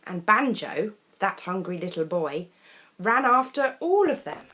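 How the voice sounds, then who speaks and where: A woman reads a picture book aloud for young children. Her delivery is unhurried, with pitch that rises and falls in a lively way. She is expressive and deliberate, leaving dramatic pauses between short phrases. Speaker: storyteller reading children's picture books. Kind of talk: animated children's story reading.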